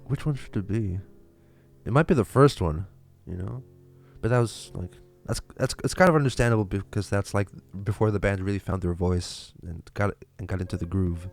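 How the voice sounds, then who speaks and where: A man's voice: low-pitched, normal speed, gravelly, darkish tone, soft background music. A young man from Lithuania with a Lithuanian accent, chatting casually on a livestream and answering viewer questions, with many fillers and restarts. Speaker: livestream host.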